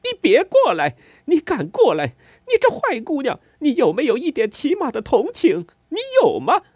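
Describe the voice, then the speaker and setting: A man voicing a distraught mother who shrieks in a sharp, trembling voice. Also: huge pitch swings, normal speed, husky, dry recording, full character voice. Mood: hysterical fury. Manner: shouting, shrill, frantic.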